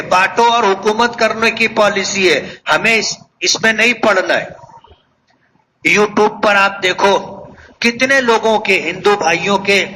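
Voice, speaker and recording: A male cleric with a very high, bright voice preaches earnestly to a congregation. He takes his time and repeats key phrases to press them home. There is faint noise behind him. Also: poor audio. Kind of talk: religious sermon to a congregation.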